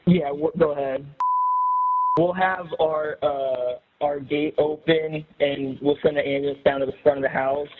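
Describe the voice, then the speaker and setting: Halting man on a noisy phone line. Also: fairly high pitch, steady tone. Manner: halting, hesitant, businesslike. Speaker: caller reporting an emergency, security command post. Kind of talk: phone report to an emergency dispatcher. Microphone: telephone. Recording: noisy, narrow recorded emergency phone line.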